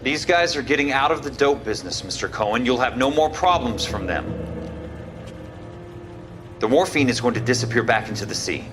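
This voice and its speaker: A male actor playing a confident, unflappable young ex-soldier in a video game, measured and assured. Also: medium pitch, expressive, music in the background, close to an everyday voice. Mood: firm.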